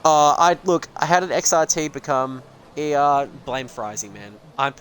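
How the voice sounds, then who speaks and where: A man's voice: a little high, animated, moderate pace, a bit bright, slight background noise. A man casually commentating a sim racing league on a live stream, rambling and joking at his own expense and swearing freely.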